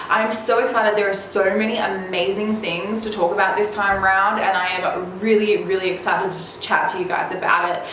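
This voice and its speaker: A woman beauty vlogger chatting casually and informally to viewers, her voice slightly raspy from a cold. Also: mid-range voice, a bit bright. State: slightly under the weather with a cold. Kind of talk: casual chat to viewers about product releases. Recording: very echoey room, faint background noise.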